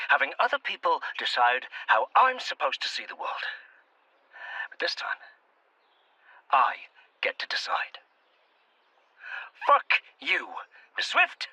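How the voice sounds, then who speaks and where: An actor playing a distressed, put-upon young man in an audio drama, halting, polite and emotional. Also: medium pitch, bright, forceful tone, husky, no echo, full character voice. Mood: defiant.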